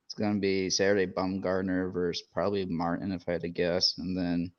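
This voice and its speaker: A man co-hosting a podcast, talking baseball in a casual way and rattling off pitching matchups quickly. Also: level tone. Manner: rapid-fire rundown of matchups. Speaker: podcast co-host. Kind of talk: casual sports chat. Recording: dull lo-fi sound.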